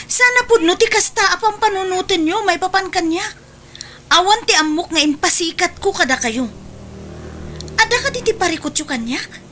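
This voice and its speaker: A woman's voice: very high voice, pushed and bright, a little hiss or hum, heavily acted. A woman playing a hurt, generous older sister in an emotional, pleading Filipino radio drama style. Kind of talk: Ilocano radio drama acting.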